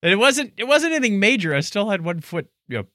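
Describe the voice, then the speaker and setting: A man's voice: high voice, very animated, fast, pushed and bright, no echo, clean studio sound. An American man co-hosting a podcast in casual chat, with a joking, teasing manner. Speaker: podcast co-host.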